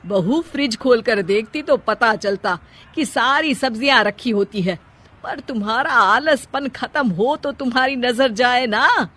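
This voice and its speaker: Woman as scolding mother-in-law, middle-aged, loud, complaining, slow-paced, cartoon family story. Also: on the high side. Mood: exasperated scolding.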